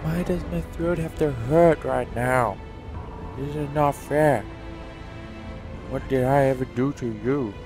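A man's voice: medium pitch, slow pace, over music. A man giving playful, silly reaction commentary over a video game. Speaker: gamer commentator.

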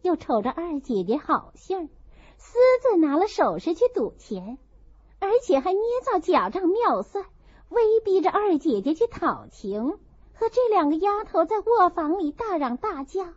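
A woman voicing a sharp-tongued, indignant young noblewoman in an audiobook, with forceful, pointed delivery. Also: extremely high pitch, big pitch swings, a little slow, heavily acted. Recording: dull lo-fi dry recording.

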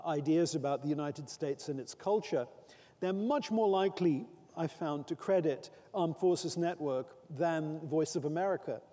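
A middle-aged man giving an academic keynote lecture from a lectern. He speaks in a thoughtful, measured way with frequent pauses. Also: on the high side, warm tone. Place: large conference hall, at a lectern. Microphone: lectern microphone. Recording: reverberant hall sound.

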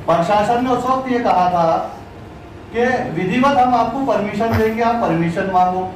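A middle-aged man addresses a formal meeting in a high-pitched voice. He builds his points phrase by phrase with insistence. The sound is very reverberant and picked up from a distance, with faint noise. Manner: deliberate, phrase-by-phrase, insistent oratory. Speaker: city qazi, community religious leader. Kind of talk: public address at an administrative meeting. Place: crowded indoor meeting room. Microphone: distant camera microphone. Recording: echoey room, distant microphone, faint noise.

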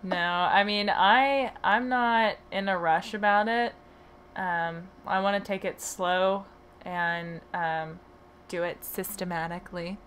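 A woman's voice: on the low side, fairly even intonation, takes it slowly, a bit bright, slight background noise. A woman hosting a podcast about her horses, talking casually with plenty of hesitant fillers. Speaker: podcast host and horse owner. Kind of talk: casual conversation.

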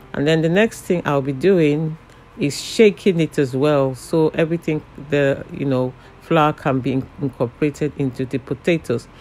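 A man casually talking through a home cooking recipe step by step, relaxed and chatty with frequent fillers. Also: fairly high pitch. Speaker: cooking channel host.

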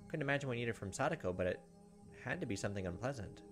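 A man, perhaps in his thirties, reading visual novel narration aloud and commenting, with dramatic, halting delivery. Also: medium pitch, lively intonation, a bit bright. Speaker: gaming video host. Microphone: desk microphone close up. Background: game music.

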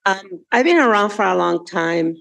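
A woman from Mexico introducing herself on a panel, reflective and halting, speaking into a table microphone in a large hearing room. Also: mid-range voice, animated, gravelly, fairly bright tone.

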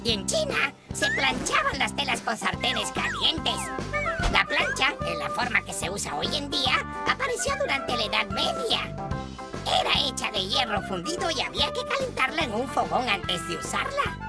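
A woman's voice: sits high, huge pitch swings, moderate pace, loud music behind the voice, full character voice. A woman voicing a small childlike cartoon character who narrates a scripted educational explanation. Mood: cheerful, instructive.

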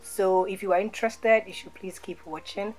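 A woman's voice: mid-range voice, fairly even intonation, moderate pace, a little mellow, soft background music, rough recording. A woman in her thirties from Ghana with a Ghanaian accent, talking casually through a makeup tutorial and repeating words for emphasis. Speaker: beauty vlogger. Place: small indoor room, seated in office chair.

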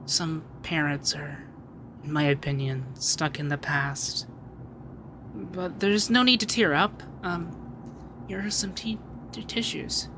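Casting: sweet, flustered rich femboy, acted by a man, soft-mannered and hesitant, unhurried ASMR roleplay, clear background noise. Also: a little high, steady tone. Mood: gentle, concerned. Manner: hesitant, flustered, gentle, stammering. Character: shy, sweet young male femboy heir and CEO. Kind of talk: ASMR roleplay audio drama.

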